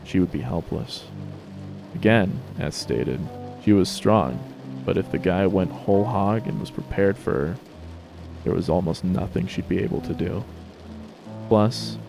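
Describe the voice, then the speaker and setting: Deep gravelly male story narrator. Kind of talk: dramatic fan fiction story reading.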